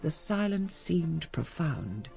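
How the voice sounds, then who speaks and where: Middle-aged lady of the house, English accent, lowish pitch, expressive range, composed, genteel, radio drama, music behind. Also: slow pace, vocal fry, full character voice. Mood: grave recollection. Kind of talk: radio drama acting and first-person narration.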